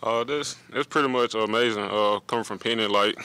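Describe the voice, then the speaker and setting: Bright, clear young man at a mic. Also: on the low side, rough recording. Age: young adult. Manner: halting, searching for words. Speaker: college basketball player. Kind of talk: post-game press conference. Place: press room at a table before a logo backdrop. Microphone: table mic.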